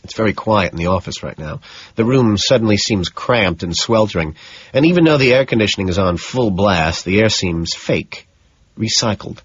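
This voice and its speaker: A man's voice: fairly low pitch, expressive, normal speed, husky, no room sound, highs cut off. A man reading scripted first-person audiobook narration.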